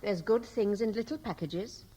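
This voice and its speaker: An actress playing a fond, brisk, scolding middle-aged Welsh mother in a 1960s radio drama, with a lilting, homely way of talking. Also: medium pitch, mellow, slightly rough, close to an everyday voice, low-quality recording. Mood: tender, fussing.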